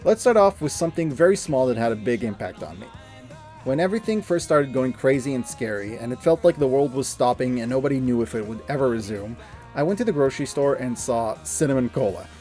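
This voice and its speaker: Expressive man in his thirties over music. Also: medium pitch, fairly quick. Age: 30s. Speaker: video creator and narrator. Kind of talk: scripted personal review narration. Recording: music under the voice. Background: background music.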